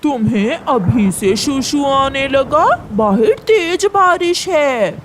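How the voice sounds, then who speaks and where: A woman's voice: sits high, expressive, slow pace, slight background noise. A woman voicing a scheming, whiny male crow who stammers in a children's cartoon story. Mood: exasperated.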